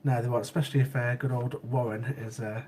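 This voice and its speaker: A chatty man, a bit sniffly from a cold, talks casually to his livestream viewers at a middling pitch. He loops back over his words and laughs often. A faint hiss sits under the recording. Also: fairly even intonation, fast talker, darkish tone. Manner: chatty, repeats himself, laughs easily. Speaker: livestream host. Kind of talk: casual chat with live chat viewers.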